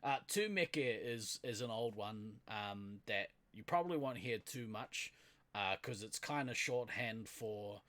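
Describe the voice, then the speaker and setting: A young New Zealand man with a bright, forceful tone and a voice that swings widely in pitch. He takes his time, talking in an unhurried way on a casual podcast. He laughs often as he explains slang. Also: animated. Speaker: podcast co-host. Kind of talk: casual chat.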